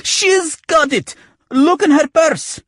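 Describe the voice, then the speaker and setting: A man voicing a scrawny, hapless, whiny man with a shrill screech in an audiobook. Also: slow, a bit bright, no echo, clean studio sound, full character voice. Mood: panicked, accusing. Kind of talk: audiobook character voice.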